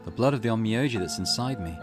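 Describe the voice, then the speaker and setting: A man's voice: fairly low pitch, animated, quick pace, lightly acted. A man reading aloud the lines of a young male game character, over background music. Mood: stunned. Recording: game music playing behind.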